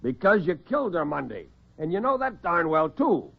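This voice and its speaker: Male actor as accusing cop, raised pitch, bullying and exasperated, old radio drama, narrow-band vintage sound. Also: very animated, normal speed, gravelly, heavily acted. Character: blustering, accusing policeman, gruff and impatient. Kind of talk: classic radio drama acting.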